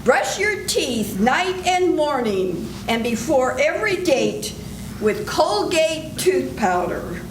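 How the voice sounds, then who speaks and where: A woman's voice: sits high, big pitch swings, slow pace. A woman reading a 1940s-style radio announcement and commercial, with emphatic, persuasive delivery. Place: senior center stage. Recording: echoey hall with noise.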